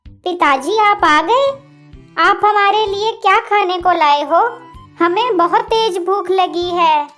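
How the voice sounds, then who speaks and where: A woman's voice: very high-pitched, takes it slowly, a bit bright, soft background music, pitched above the performer's own voice, full character voice. A woman voicing an eager, innocent little daughter bird in a children's cartoon, in a childlike, pleading way. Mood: eager, hungry. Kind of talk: children's cartoon story acting.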